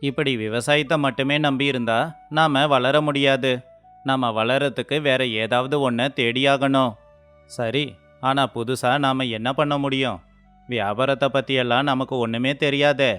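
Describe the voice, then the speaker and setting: A man acting an earnest, indecisive village farmer husband in a moral story cartoon, speaking plainly and deliberately. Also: medium pitch, fairly even intonation, a bit bright, smooth, faint music behind, close to an everyday voice. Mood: worried, pondering. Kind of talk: acted dialogue in a Tamil moral story cartoon.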